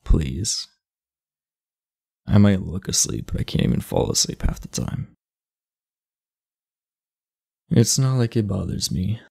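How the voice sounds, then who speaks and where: A man acts out a big, aloof class delinquent in an intimate ASMR roleplay. His voice is low and husky with heavy fry, and it moves widely in pitch. He talks bluntly and confidingly, laid-back but secretly earnest. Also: very animated, unhurried, warm tone, exaggerated voice. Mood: guarded, weary. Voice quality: gravelly, husky.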